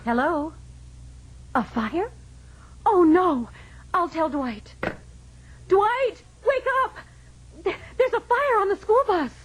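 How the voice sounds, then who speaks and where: An actress playing an anxious, startled wife in a radio drama, with worried urgency. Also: female voice, very high voice, very animated, slow, long pauses, heavily acted. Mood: frightened. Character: anxious, startled wife bringing bad news.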